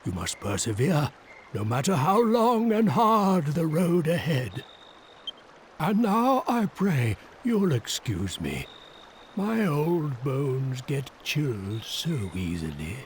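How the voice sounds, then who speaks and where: An actor playing a grave, courteous old sage in a video game, speaking in solemn, formal phrases. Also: male voice, fairly high pitch, very animated, slow pace, slight background noise. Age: older. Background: game music.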